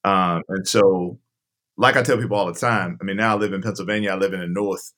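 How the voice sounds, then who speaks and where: A man's voice: low voice, fairly even intonation, fairly quick, fairly bright tone. A middle-aged man from southwestern Virginia, speaking candidly and reflectively as an interview guest. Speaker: interview guest, camp director. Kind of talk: conversational interview.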